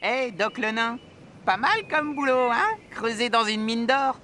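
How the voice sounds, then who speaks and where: A man's voice: very high voice, unhurried, pushed and bright, a little hiss or hum, exaggerated voice. A man voicing a cheeky, adventurous little toy-animal sidekick in a cartoon. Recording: music behind the voice.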